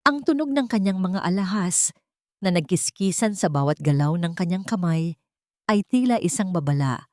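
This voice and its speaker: A woman's voice: fairly low pitch, lively intonation, normal speed, darkish tone, dry recording, clean studio sound. A woman narrating a scripted dramatic story.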